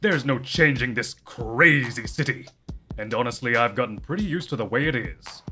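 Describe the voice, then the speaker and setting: Man acting bright-voiced hard-boiled police detective. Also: mid-range voice, lively intonation, normal speed, soft background music. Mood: firm, earnest. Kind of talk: audio drama acting.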